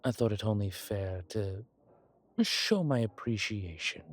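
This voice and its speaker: A man playing a suave, grateful old vampire in a roleplay audio, speaking formally with pauses and quiet amusement. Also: fairly low pitch. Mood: grateful, courteous. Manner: genteel, formal, hesitant pauses, soft chuckles. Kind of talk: intimate one-sided roleplay audio drama.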